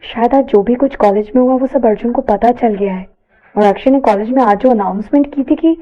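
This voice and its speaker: A woman reading a scripted story aloud as an expressive narrator. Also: on the high side, fairly quick, dull audio.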